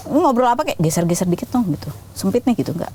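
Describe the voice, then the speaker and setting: A middle-aged woman with an Indonesian accent chats as an interview host, her pitch swinging freely. She teases and probes in casual, bantering questions. A faint layer of noise and clicks sits behind her. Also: sing-song, fast talker, mellow. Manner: teasing, probing, chatty.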